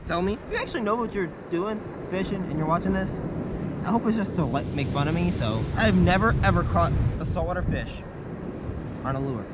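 A man fishing from a beach and talking to an action camera, chatty and self-mocking, with bursts of excitement whenever he thinks a fish is on. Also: sits high, a little fast. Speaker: fishing vlogger. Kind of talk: self-deprecating casual vlog narration. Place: beach surf, outdoors. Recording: windy outdoor beach audio with surf noise. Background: wind and crashing waves.

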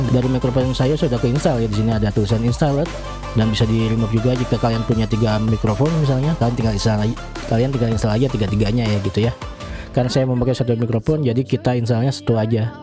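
Brief: young male tutorial host, friendly and chatty, studio mic at home, music bed under him. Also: mid-range voice. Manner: chatty, friendly, keeps addressing viewers as friends. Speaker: YouTube tech tutorial host. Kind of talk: casual step-by-step software tutorial. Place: small home room lit with blue LED lights. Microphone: large studio mic on a boom arm.

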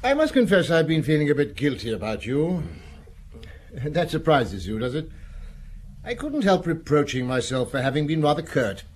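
An actor in a British radio drama playing a curt, self-assured French official who speaks with formal, assertive courtesy. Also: male voice, fairly high pitch, very animated, normal speed, slightly rough. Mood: stiffly polite.

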